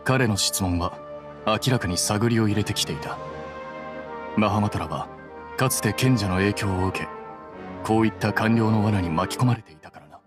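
Low, deadpan, aloof young scholar played by a man. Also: close to an everyday voice. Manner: deadpan, measured, condescending. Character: cool, aloof young male scholar-official, bluntly logical. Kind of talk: Japanese anime game voice acting. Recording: music behind the voice.

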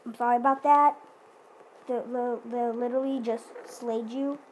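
A woman's voice: on the high side, steady tone, moderate pace, a little hiss or hum. A woman giving casual, playful, chatty commentary during a video game roleplay. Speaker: gaming video host.

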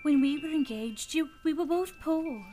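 A woman acts a gentle young woman in a radio drama, wistful and resigned. The voice is high and earnest, with faint music behind it. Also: normal speed, close to an everyday voice. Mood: sad, resigned. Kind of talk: radio play acting.

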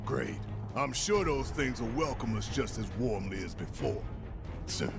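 An actor playing a big, hot-headed resistance fighter in a video game, with a gruff American voice and a brash, slangy, theatrical delivery. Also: male voice, mid-range voice, big pitch swings, unhurried, over loud music. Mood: sardonic, brash. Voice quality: gruff, gravelly.

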